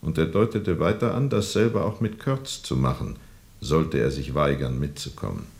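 An actor playing a grave, seasoned sea captain who recounts a jungle river journey in a deliberate, brooding way for a radio drama. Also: male voice, rumbling low, vocal fry, darkish tone. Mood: sombre testimony. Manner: deliberate, brooding, measured storytelling. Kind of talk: radio drama narration, recounting under questioning.

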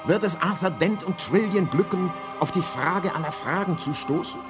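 A man's voice: high voice, moderate pace. Man narrating a radio serial over music. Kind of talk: scripted dramatic teaser narration. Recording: music under the voice.

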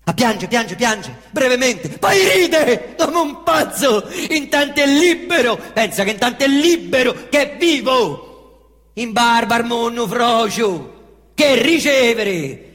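A middle-aged man performing a theatrical monologue on stage, swinging between hushed mutters and loud excited outbursts while mimicking engine noises. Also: very high voice, takes it slowly, long reverb tail. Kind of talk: theatrical monologue in Roman dialect.

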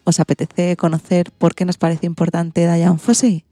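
A woman with an accent from Spain presents a children's radio programme in a low, relaxed voice. Her delivery is partly scripted, with a husky edge, and the sound is dry and close. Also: moderate pace, no echo.

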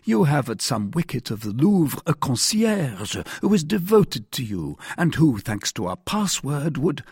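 A man's voice: mid-range voice, very animated, measured pace, a little mellow, husky, dry recording, studio quality, voice pushed up, heavily acted. A man playing a gallant, courteous young guardsman in an audiobook, speaking earnestly and formally. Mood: confident. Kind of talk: audiobook character voice.

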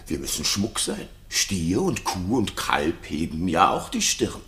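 An actor playing a jealous, tormented king in a classical radio play, speaking in a halting, theatrical, brooding way. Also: sits low, heavily acted.

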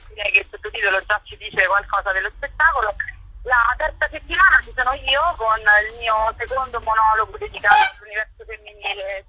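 A woman's voice: fairly high pitch, sharp tone, breathy edge. A woman being interviewed over a phone line, explaining a festival programme in a chatty, promotional way. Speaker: interviewed festival curator and performer. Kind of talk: live radio phone interview. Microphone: telephone. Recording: tinny roomless phone line.

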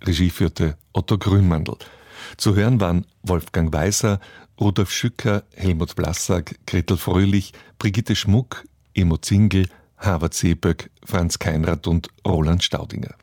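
A man's voice: low voice, steady tone, a little slow, darkish tone, no echo, clean studio sound. A man presenting a radio programme from a script.

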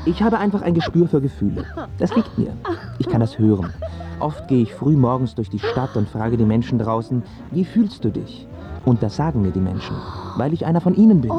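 A man's voice: mid-range voice, big pitch swings, brisk, highs cut off, music in the background. An actor in a radio drama playing a brash, vain male pop singer who boasts in slang and platitudes. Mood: boastful.

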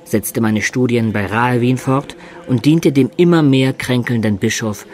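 A male actor in a radio drama playing an earnest, lovestruck adventurer of peasant birth, telling of his youth in measured, lyrical words. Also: mid-range voice, darkish tone, natural voice. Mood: wistful, reminiscing. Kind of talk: dramatic first-person narration in radio play.

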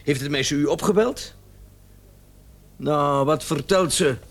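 A man's voice: a little high, takes it slowly, a bit bright. An actor playing a flustered, indignant and evasive male doctor in a radio drama, stammering and repeating himself when agitated. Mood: nervous, defensive.

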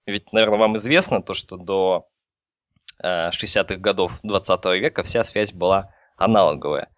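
Male expert explainer, lowish pitch, informal and halting, dry recording. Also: a bit bright, dry recording. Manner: halting, with frequent false starts. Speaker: telecom engineer explaining as guest expert.

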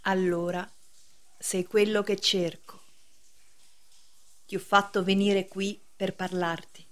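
An actress playing a solemn, severe preacher in a radio play, speaking in a measured, declamatory way. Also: female voice, medium pitch, little pitch movement, close to an everyday voice. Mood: grave, reproving. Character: solemn, severe female preacher, cryptic gatekeeper of justice. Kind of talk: theatrical radio drama acting.

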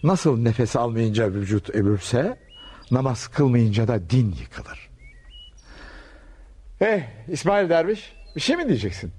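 A man's voice: medium pitch, sing-song, takes it slowly, long pauses, a little mellow, slightly rough, exaggerated voice. A male actor playing a kindly, wise Sufi master in a radio play, solemn and fatherly. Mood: calm, welcoming. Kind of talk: Turkish radio theatre acting.

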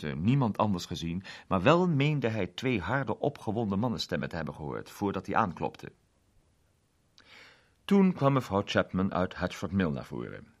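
A man narrating a scripted story as an expressive storyteller. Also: low voice.

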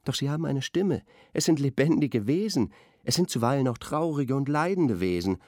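An actor playing an earnest, lecturing intellectual art critic in a radio drama studio discussion. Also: male voice, medium pitch, lively intonation, normal speed, a little mellow, no room sound, natural voice. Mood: solemn, admiring.

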